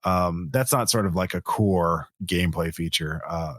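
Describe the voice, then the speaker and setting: A man with a fairly low voice talks casually as a podcast host about tabletop gaming. He rambles a little, working out his thoughts as he speaks. The sound is dry and close. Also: normal speed, fairly bright tone, no echo. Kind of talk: casual tabletop game discussion.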